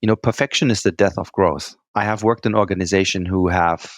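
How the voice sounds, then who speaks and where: A man's voice: sits low, fairly even intonation, a little fast, dry recording, clean studio sound. A man from Germany with a German accent, answering interview questions earnestly and often checking in with "right?". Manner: earnest, repeats words, frequent "right?" tags. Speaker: guest executive.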